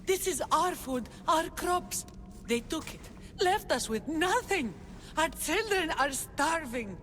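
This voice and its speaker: A woman's voice: high-pitched, expressive, slow pace, fairly bright tone, full character voice. A woman playing a desperate, starving ancient Greek peasant in a video game, pleading in distress. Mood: distraught, pleading. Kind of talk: video game cutscene acting. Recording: long reverb, faint noise.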